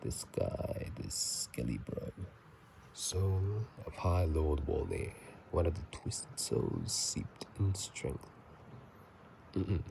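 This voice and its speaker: A man speaking in a soft ASMR whisper and murmur, casual and halting, recorded on a phone microphone. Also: low voice, sing-song, vocal fry. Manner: halting, casual, self-correcting. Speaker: channel host. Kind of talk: whispered ASMR game commentary. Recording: faint noise, phone microphone.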